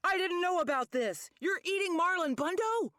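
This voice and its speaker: A woman in a casual group chat, throwing in expressive, teasing remarks. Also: very high voice, moderate pace, no room sound, studio quality.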